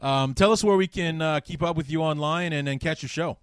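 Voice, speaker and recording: A man hosting a football podcast, with a baritone voice and enthusiastic, joking banter in casual chat. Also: a little fast, clean tone, no room sound, studio quality. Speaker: podcast host.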